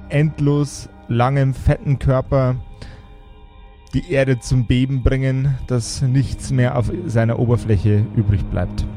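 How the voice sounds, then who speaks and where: A man narrating an improvised tabletop game as game master, in measured, suspenseful phrases. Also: medium pitch, mellow. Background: background music.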